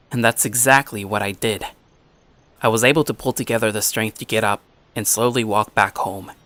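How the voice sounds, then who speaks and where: Brooding teenage schoolboy, forceful bright tone, man acting. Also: fairly low pitch, lightly acted. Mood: weary, determined. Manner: brooding, self-pitying. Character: bitter, downtrodden teenage schoolboy telling his own story. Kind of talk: first-person dramatic narration.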